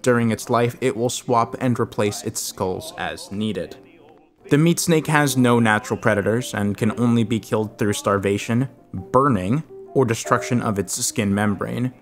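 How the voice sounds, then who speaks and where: A low male voice delivers a scripted horror explainer. He speaks in a measured, expository manner, setting out each point as a narrator would. Music plays faintly behind him. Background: background music.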